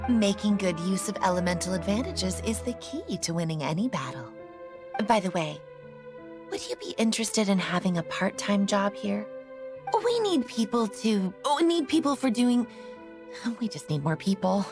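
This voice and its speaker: A woman voicing a languid, teasing librarian mage in a video game, with a knowing, relaxed manner. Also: mid-range voice, normal speed, lightly acted. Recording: loud game music underneath.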